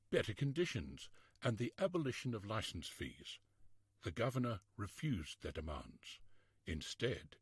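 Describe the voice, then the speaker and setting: A man reading a history storybook aloud in a measured, expressive, scripted narration style. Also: medium pitch, breathy edge, no echo, clean studio sound.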